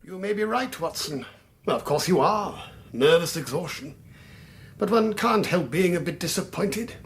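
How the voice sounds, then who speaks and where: An actor playing a fretful, polite elderly gentleman in a radio drama. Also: high-pitched, animated, moderate pace, vocal fry.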